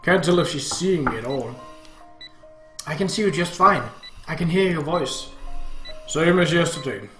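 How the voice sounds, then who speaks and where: A man's voice: a little high, lively intonation, moderate pace, pauses often, reverberant, faint music behind. Man acting a harsh, bitter, exhausted father. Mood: cold, doubtful. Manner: shouting, gruff, blunt.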